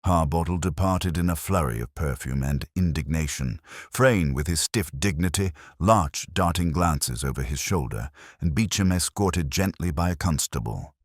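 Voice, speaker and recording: A man's voice: rumbling low, lively intonation, moderate pace, hardly any pauses, dry recording, studio quality. A man reading a scripted mystery story as its narrator.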